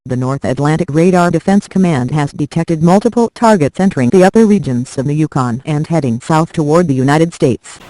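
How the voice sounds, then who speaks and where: Male emergency broadcast announcer, slightly high mellow voice, formal official delivery. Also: animated, fairly quick, few pauses, a little hiss or hum, low-quality recording.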